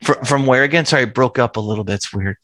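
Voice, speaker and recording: A man's voice: mid-range voice, fast talker, fairly bright tone, dry recording. A man hosting an interview podcast, chatting in a friendly, conversational way. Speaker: podcast host. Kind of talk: casual interview.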